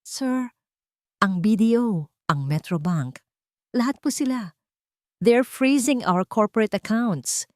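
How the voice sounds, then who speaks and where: A woman's voice: fairly high pitch, lively intonation, takes it slowly, long pauses, no room sound, clean studio sound, pitched above the performer's own voice. Woman acting an anxious, polite office secretary. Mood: alarmed. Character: anxious, deferential office secretary bringing bad news.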